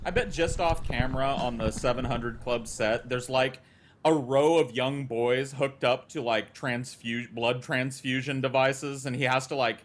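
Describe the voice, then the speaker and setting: A man's voice: mid-range voice, fairly even intonation, measured pace, a little hiss or hum. A man chatting casually and jokingly as a podcast panelist. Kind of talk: casual comedic panel chat.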